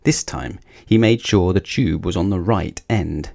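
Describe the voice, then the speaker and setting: A man's voice: sits low, a little slow, creaky, a little mellow. A man reading a scripted children's story aloud as the narrator.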